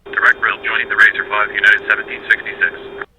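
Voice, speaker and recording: A man's voice: fairly low pitch, monotone, fast, poor audio. A man playing a businesslike airline pilot in a flight control game, heard through an aircraft radio. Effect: aircraft radio filter. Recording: loud cockpit radio noise.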